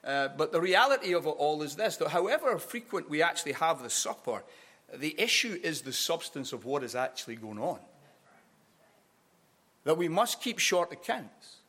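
A man's voice: fairly high pitch, pushed and bright. A Scottish man in his forties, a pastor preaching an earnest sermon with frequent restarts and emphatic rises. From Scotland.

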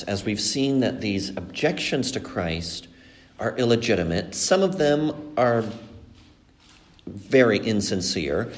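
A man from Colombia who grew up in Mexico, preaching with earnest, explanatory emphasis. His pitch rises and falls widely, and he slows down to stress key words. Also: slight background noise. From born in Colombia, raised in Mexico. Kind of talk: expository sermon.

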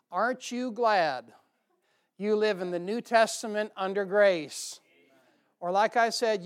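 High-voiced American preacher, clear and smooth. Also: male voice. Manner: informal, folksy asides to the congregation. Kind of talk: church sermon with Bible reading.

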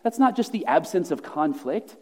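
A man preaching A pastor delivers an earnest, emphatic sermon, leaning on repeated phrases to drive each point home. His voice sits fairly high but sounds mellow and soft-edged. Long reverb from a large church hall trails after his words. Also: very animated, normal speed. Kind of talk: sermon and closing prayer.

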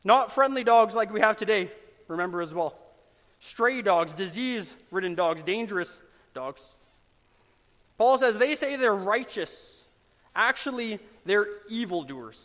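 Pastor, male, high voice; earnest, emphatic sermon delivery, church hall reverb. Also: lively intonation, fairly bright tone. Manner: earnest, emphatic preaching with dramatic pauses.

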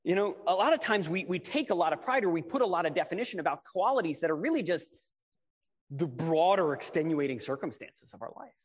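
A man's voice: on the high side, expressive, fast, husky. A man with a big, projecting voice preaching a conversational sermon, casual and self-deprecating, often asking rhetorical questions. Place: large reverberant room. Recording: reverberant hall.

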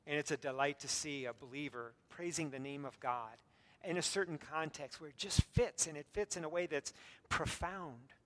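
A man preaching a sermon as pastor, earnest, with light self-deprecating humor, frequent fillers and restarts, and a voice he himself calls squeaky. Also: normal speed. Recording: long reverb from a hall.

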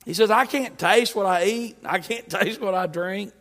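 A man delivers a sermon in a high voice, reading verses and then retelling them in plain, emphatic speech. His words ring out with a long reverb, as if heard in a church sanctuary. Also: moderate pace, fairly bright tone. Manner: emphatic preaching, paraphrasing scripture in plain talk. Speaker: preacher.

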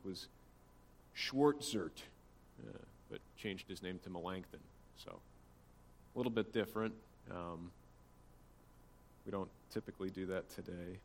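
A man giving an informal church history lecture, explaining things conversationally with casual asides. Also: low-pitched. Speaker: church teacher. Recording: long hall reverb, faint background noise.

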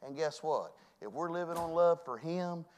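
Fervent preacher, high-pitched man. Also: expressive, normal speed. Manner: preaching with sweeping swings from loud to hushed. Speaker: pastor. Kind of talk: sermon. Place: church.

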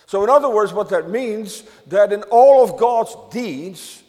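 An older man from the Netherlands preaching a sermon to a congregation, measured, with emphatic rises on key words. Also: high voice, animated, warm tone, big-room sound. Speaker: pastor.

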